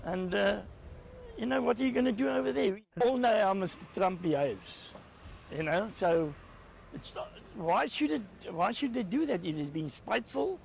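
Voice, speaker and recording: A high-pitched man with a gravelly voice answers an interview in a hesitant, stumbling way. Faint ambient sound runs under him. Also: animated, moderate pace, a little mellow, slight background noise, rough recording.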